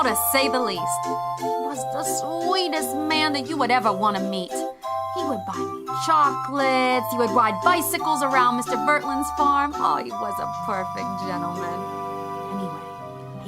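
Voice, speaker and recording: A dotty old grandmother for an audio drama. Her voice is high and swoops around a lot. She is doting, theatrical and rambling, with music loud behind her. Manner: theatrical, rambling, endearments like darling and honey.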